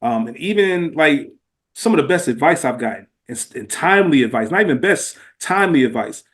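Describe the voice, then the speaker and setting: A man's voice: on the high side, lively intonation, brisk, a bit bright. A man speaking as a podcast host in casual conversation, earnest and emphatic, often repeating phrases.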